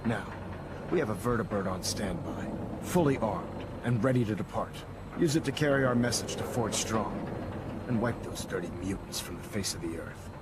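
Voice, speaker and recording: An actor playing a zealous, authoritative military leader in a video game, speaking in formal, oratorical style. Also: male voice, lowish voice, expressive, normal speed, heavy background noise, natural voice. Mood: stern, commanding. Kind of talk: video game dramatic dialogue.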